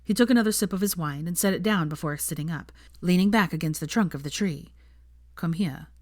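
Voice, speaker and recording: A woman narrating a fanfiction audiobook in a calm, even storytelling voice. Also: on the low side, fast talker, no room sound, clean studio sound. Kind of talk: audiobook reading.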